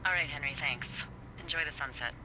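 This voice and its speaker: A woman's voice: sits low, fairly quick. A woman playing a wry, teasing fire lookout supervisor in a video game, talking playfully over a walkie-talkie. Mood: serious, guarded. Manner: playful, sardonic. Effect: walkie-talkie radio. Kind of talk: naturalistic video game voice acting. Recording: radio-filtered voice with clear background noise.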